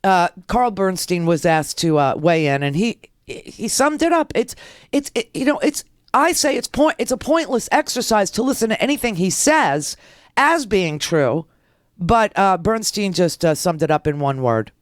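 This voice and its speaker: A woman's voice: mid-range voice, big pitch swings, moderate pace, no room sound, studio quality. A woman hosting a political talk radio show, sarcastic and combative, heckling the clips she plays.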